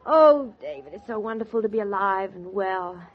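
An actress playing a whimsical, earnest young farm wife who gushes with wonder, in 1940s radio drama style. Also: female voice, mid-range voice, expressive, normal speed, lightly acted. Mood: earnest, delighted. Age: young adult. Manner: gushing, wonder-struck. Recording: muffled 1940s radio recording.

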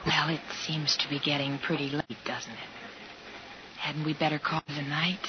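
A woman's voice: low-pitched, flat delivery, moderate pace, lightly acted. An actress playing a chatty, prim, homespun young woman in a 1950s radio drama, gushing and easily flustered. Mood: nervous, demure. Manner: gushing, flustered, old-fashioned exclamations. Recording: muffled, noisy 1950s radio recording.

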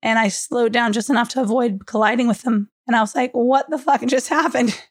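A woman co-hosting a podcast, hoarse and losing her voice after a cold, telling a story in casual chat. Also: on the high side, fairly even intonation, a little fast, no room sound, studio quality. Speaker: podcast co-host.

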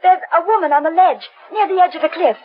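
A wronged, suspicious wife in a vintage radio melodrama. Her voice is high, her manner bitter and anxious. The sound is dull and dated. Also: quick pace. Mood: suspicious, accusing. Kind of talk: 1940s radio acting, melodramatic. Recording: muffled vintage 1940s radio broadcast.

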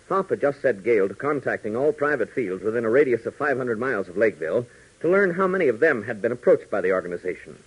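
A man's voice: medium pitch, husky. A man announcing and narrating a scripted 1940s radio adventure serial with emphatic, formal delivery. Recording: dull, worn 1940s radio recording.

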